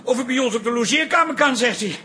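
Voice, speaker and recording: An actor playing an agitated, fretful husband from the countryside in a radio comedy drama, complaining in a worked-up way. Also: male voice, very high voice, fast, pushed and bright. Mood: exasperated. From figure from Twente.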